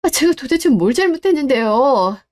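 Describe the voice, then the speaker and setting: A woman's voice: high-pitched, big pitch swings, moderate pace, darkish tone. A woman playing a caring but indignant young wife in a scripted radio drama, polite yet firm.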